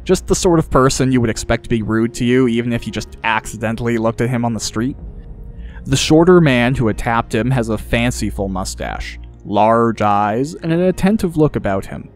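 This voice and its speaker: Male audiobook narrator, scripted fantasy story, medium pitch, faint music underneath. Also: animated, normal speed.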